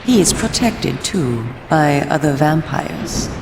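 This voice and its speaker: A woman playing a stern, commanding vampire resistance leader in a dramatic video game, with a solemn, measured and persuasive manner. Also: low-pitched, lively intonation, gravelly, reverberant, noisy background, full character voice. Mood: stern warning.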